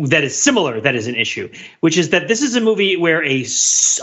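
A man co-hosting a podcast, discussing film casually in a digressive, animated, argumentative way with mock-exasperated emphasis. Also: on the high side, highs cut off. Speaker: podcast co-host.

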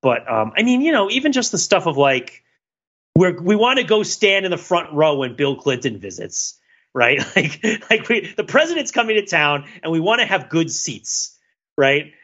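A man's voice: fairly high pitch, sing-song, moderate pace, a bit bright, lo-fi sound. A man co-hosting a podcast discussion, talking casually with many fillers, restarts and little laughs. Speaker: podcast co-host.

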